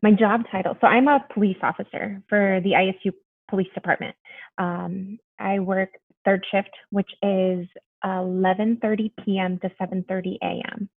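A woman's voice: mid-range voice, fairly even intonation, moderate pace, vocal fry, no echo. Young woman from Mexico, bubbly guest, tinny phone-like sound, dry. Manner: bubbly, chatty, frequent ums and laughs. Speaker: guest, police officer. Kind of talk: casual interview chat.

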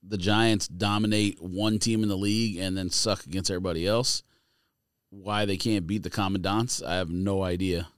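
A man's voice: low-pitched, steady tone, moderate pace, clean tone, no room sound, clean studio sound. A man hosting a casual sports podcast, calling out matchups and bantering through picks. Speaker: podcast host calling out the games.

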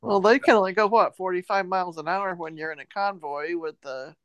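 A woman's voice: fairly low pitch, a little fast, dry recording. An American woman, middle-aged or older, co-hosting a podcast in casual, easygoing conversation. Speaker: podcast co-host. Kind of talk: casual chat.

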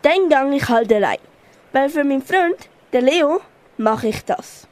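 A woman's voice: high-pitched, lively intonation, a little slow, a little mellow. A teenage girl with a Swiss German accent playing a loyal, helpful elephant in an amateur radio play. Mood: brave, uneasy.

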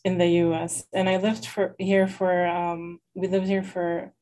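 A woman's voice: on the low side, flat delivery, unhurried, mellow. A young woman raised in Lebanon, talking as a guest in a casual interview, hesitant and full of fillers. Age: young adult.

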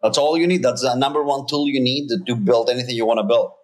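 A man's voice: medium pitch, fairly even intonation, fast. A man in his thirties, originally from Greece, a company founder talking earnestly and emphatically as a guest, often restarting his sentences. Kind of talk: casual interview talk.

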